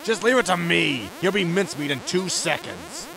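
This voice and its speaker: An actor playing a cocky, quarrelsome spaceship captain, blunt, irritable and informal, in a science fiction comedy audio drama. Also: male voice, high voice, sing-song, normal speed, vocal fry, music in the background, heavily acted, rough recording. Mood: cocky, urgent.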